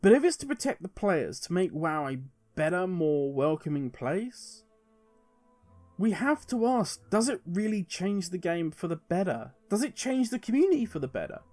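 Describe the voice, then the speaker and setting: A man's voice: high voice, big pitch swings, moderate pace, a little mellow, faint music behind. A man hosting a gaming video, speaking earnestly and emphatically as he gives his opinion straight to viewers and poses rhetorical questions.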